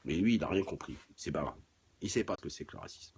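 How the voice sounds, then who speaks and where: A middle-aged man with a husky voice, chatting casually and bluntly on a livestream, with crude swearing. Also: very deep voice, very animated, fast talker, a little mellow. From France. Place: home garage.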